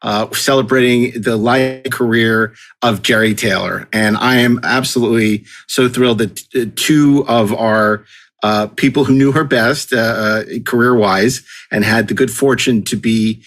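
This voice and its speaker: A man's voice: medium pitch, steady tone, a little slow, fairly bright tone. A man hosting a podcast in a conversational style, effusive and wordy as he talks. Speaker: podcast host.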